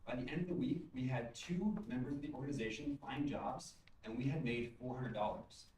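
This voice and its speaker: A young man presents a prepared pitch as a student founder. He speaks in a presentational style, picked up at some distance in an echoey room. Faint noise sits behind him. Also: brisk, darkish tone. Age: young adult.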